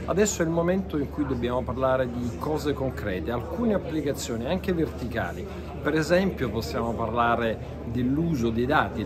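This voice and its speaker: A middle-aged man, a company executive, gives a measured, explanatory interview at a busy exhibition hall. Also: mid-range voice. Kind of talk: trade fair interview. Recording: chattering crowd behind.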